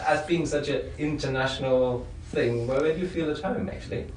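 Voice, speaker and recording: A man's voice: mid-range voice, normal speed, darkish tone. Distant, echoey man asking stumbling questions. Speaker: TV interviewer. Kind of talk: interview questions. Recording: roomy, distant mic with background noise.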